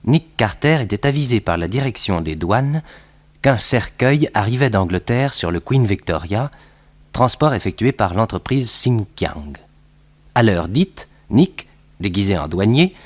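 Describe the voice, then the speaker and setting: An actor playing a detective's composed sidekick, recounting the case as narrator of a 1960s French radio crime serial. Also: male voice, fairly low pitch, animated. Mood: composed narration. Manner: measured, storytelling.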